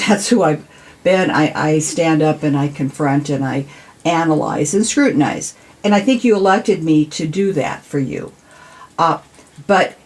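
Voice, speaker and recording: Woman, American, deep-voiced, earnest local-politician type explaining things conversationally. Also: a little mellow. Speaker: city council member, podcast guest. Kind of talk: conversational interview.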